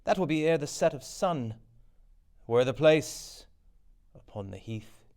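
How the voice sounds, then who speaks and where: A man's voice: a little high, expressive, a little mellow, voice pushed up. A man voicing sinister witches in a stage tragedy, with an incantatory delivery. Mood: ominous chanting. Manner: slow, incantatory. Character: sinister witches chanting riddles on a heath.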